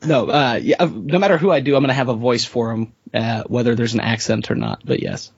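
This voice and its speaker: A man talking casually as a player in a tabletop role-playing game, informal and jokey, thinking out loud as he describes a character. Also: mid-range voice, fairly quick, creaky, slightly rough, no echo, lo-fi sound.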